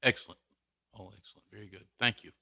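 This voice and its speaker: Halting low-voiced male meeting host. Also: vocal fry, pushed and bright, no room sound. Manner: halting, with many restarts. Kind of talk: moderating an online meeting. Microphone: video call.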